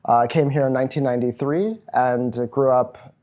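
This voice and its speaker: A man's voice: mid-range voice, fairly even intonation, moderate pace, highs cut off. A man in his thirties from Trinidad and Tobago, a programs director giving a reflective, earnest personal testimony at a virtual event. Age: 30s.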